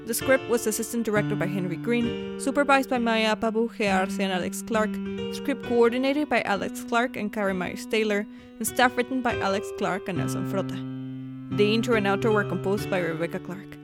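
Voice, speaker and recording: A woman's voice: mid-range voice, brisk. A woman reading scripted end credits as the announcer of an audio drama podcast. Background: guitar music.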